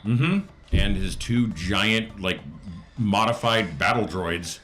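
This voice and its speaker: A man's voice: lowish voice, slow pace, a bit bright. A man chatting casually as a player in a tabletop role-playing game, describing what his character does.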